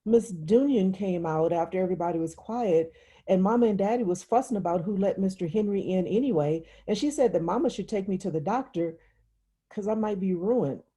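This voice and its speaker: A woman plays an upset young girl in an audiobook story, confiding in plain childlike words. The voice is soft and very mellow with a husky edge, reluctant and easily exasperated. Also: medium pitch, fairly quick, pitched above the performer's own voice, lightly acted. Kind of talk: literary audiobook dialogue.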